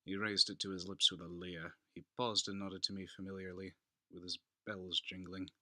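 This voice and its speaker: A man giving a theatrical, deliberate dramatic reading of a classic gothic story as narrator. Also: sits low, little pitch movement, pushed and bright, no room sound.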